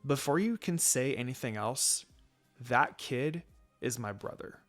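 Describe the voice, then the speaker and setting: A man's voice: medium pitch, lively intonation, measured pace, a bit bright, faint music behind. A man voicing a blunt, protective young ninja woman in a fan fiction reading. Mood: firm.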